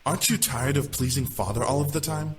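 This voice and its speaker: An actor playing a reasoning demon nobleman in a visual novel, measured and persuasive. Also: medium pitch, lightly acted. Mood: earnest urging. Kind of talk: visual novel character acting.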